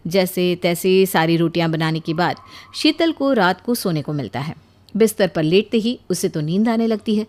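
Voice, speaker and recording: A woman's voice: lowish voice, animated, normal speed, darkish tone. A woman narrating a scripted story as its storyteller.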